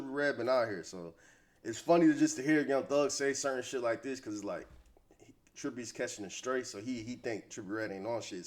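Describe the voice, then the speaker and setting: An American man giving casual, opinionated hip-hop gossip commentary in slangy, conversational speech. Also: mid-range voice.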